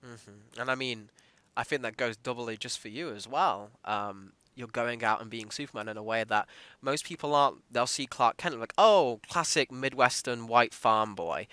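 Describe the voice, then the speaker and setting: A man's voice: medium pitch, normal speed, sharp tone, clear, resonant tone, no room sound. A man hosting a podcast, interviewing a guest in a conversational style. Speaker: podcast host interviewing an actor.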